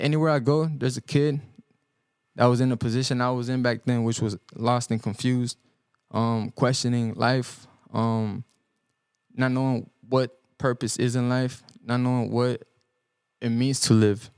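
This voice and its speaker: A young man born in El Salvador and raised in the US Midwest, a guest musician giving earnest, halting answers on a podcast, full of ums and restarts. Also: medium pitch, fairly even intonation, a little mellow, smooth, dry recording, clean studio sound. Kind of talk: reflective podcast interview answers. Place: podcast studio.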